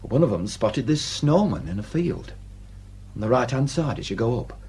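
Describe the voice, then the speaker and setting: A man's voice: mid-range voice, big pitch swings, normal speed, darkish tone, slightly rough, exaggerated voice. A man acting a deferential, plain-spoken village sergeant with a Northern English accent in a radio drama. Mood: grim, hushed.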